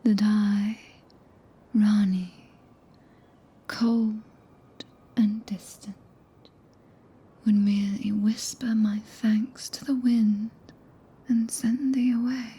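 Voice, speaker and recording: A woman with a British accent playing a regal, possessive witch in whispered ASMR roleplay, speaking archaically and imperiously with long pauses, close to the microphone. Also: medium pitch, little pitch movement, mellow, exaggerated voice. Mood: cool, teasing authority. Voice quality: breathy, whispered. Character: regal, possessive witch, cool yet tenderly commanding. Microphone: close binaural ASMR microphone.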